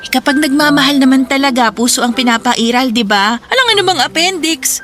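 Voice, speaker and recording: A woman's voice: high-pitched, animated, fairly quick, music in the background. An actress playing a cheerful, love-struck young grocery worker in a radio drama, teasing and giggly as she chats. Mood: giddy in love. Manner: giggly, playful, chatty. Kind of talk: Tagalog radio love-story drama acting.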